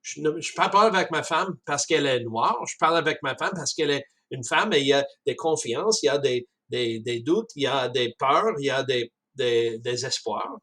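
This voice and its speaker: A man with a Canadian French accent talking casually about a book, halting often with restarts and chuckles. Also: medium pitch, expressive, fairly bright tone. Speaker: speaker discussing a book. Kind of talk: casual spoken commentary.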